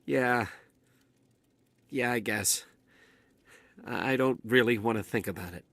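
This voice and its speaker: A man's voice: medium pitch, measured pace, fairly bright tone, no echo. A man playing a shaken, cowardly dockworker and smuggler in a video game, stammering nervously and defensively. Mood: shaken, subdued. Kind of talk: video game cutscene acting.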